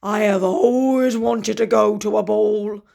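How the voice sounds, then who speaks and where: Big sneaky wolf guy, booms and drags out words, woman doing the voice. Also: fairly even intonation, a little slow, creaky, warm tone. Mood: longing. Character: sly big male wolf, secretly soft.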